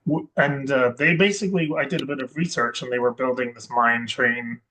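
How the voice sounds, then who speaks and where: A man from Scotland, a guest investor chatting in an interview, rambling and correcting himself with many pauses and restarts. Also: mid-range voice, fairly even intonation, bright, forceful tone, slight background noise, poor audio. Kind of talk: casual interview chat.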